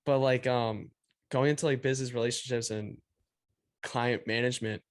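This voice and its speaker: Relaxed young male podcast host. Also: mid-range voice, moderate pace. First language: not English. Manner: relaxed, rambling, many "like" fillers. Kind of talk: casual interview chat. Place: small room at home. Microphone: studio mic on stand, video call.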